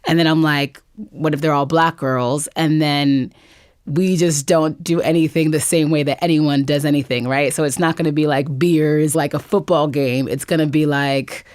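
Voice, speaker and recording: A woman's voice: low voice, fairly even intonation, moderate pace, darkish tone, no echo, studio quality. A woman speaking casually as an interview guest, conversational and peppering her talk with like and you know. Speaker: guest playwright and performer.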